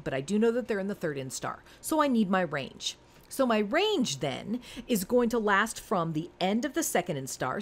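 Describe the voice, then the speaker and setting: Animated woman in her forties, teaching. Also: medium pitch. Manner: conversational, emphatic, talks to the learner with rhetorical questions. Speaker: instructor. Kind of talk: informal screen-recorded lesson, explaining step by step.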